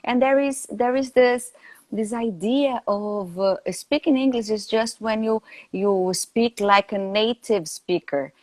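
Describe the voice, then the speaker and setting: A woman's voice: fairly high pitch, slow pace, no echo. A woman from Brazil with a Brazilian Portuguese accent, hosting a casual live chat in English and often checking in with "right?" and fillers. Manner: warm, tags "right?", hesitant fillers. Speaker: host. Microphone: phone.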